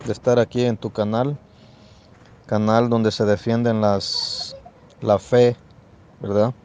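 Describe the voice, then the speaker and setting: Dark-voiced Salvadoran preacher, dull lo-fi audio. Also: male voice, mid-range voice, clear, resonant tone. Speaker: debater and preacher. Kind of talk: religious debate introduction.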